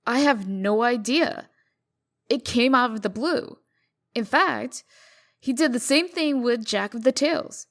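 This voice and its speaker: A woman's voice: fairly high pitch, slow pace, fairly bright tone. Woman acting a poised, formal civil servant. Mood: measured, skeptical. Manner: formal, precise, dryly skeptical. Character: poised, formal, businesslike female deputy to the mayor. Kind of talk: comic dub character acting.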